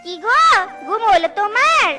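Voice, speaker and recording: Casting: woman for nagging fox mother, children's cartoon, extremely high pitch, homely, music underneath. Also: big pitch swings, normal speed. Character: scolding, homely fox wife and village mother. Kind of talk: animated children's cartoon dialogue.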